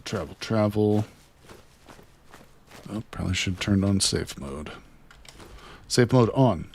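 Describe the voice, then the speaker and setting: A man streaming a video game, chatting excitedly to his viewers as he plays, losing his voice near the end of a long session. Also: on the low side. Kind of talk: casual gameplay commentary.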